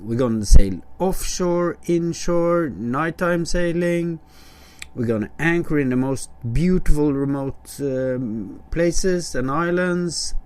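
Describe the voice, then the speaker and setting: A man's voice: mid-range voice, darkish tone. A man hosting a podcast, introducing the episode and interviewing guests. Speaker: podcast host.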